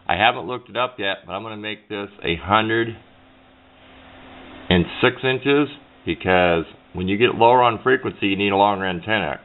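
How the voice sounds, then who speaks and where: A middle-aged man giving a casual hands-on explanation in a rambling, plain-spoken way, correcting himself as he goes. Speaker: hobbyist demonstrating a homemade radio antenna build. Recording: low-fi muffled sound with clear background noise.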